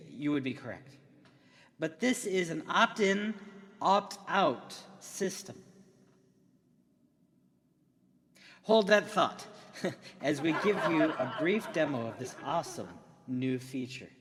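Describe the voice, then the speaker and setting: An excited, showy tech presenter from the future , acted out by a man, delivers a radio drama keynote in long hall reverb. His voice sits high and swings widely in pitch, with theatrical emphasis and dramatic pauses. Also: exaggerated voice. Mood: reassuring, upbeat.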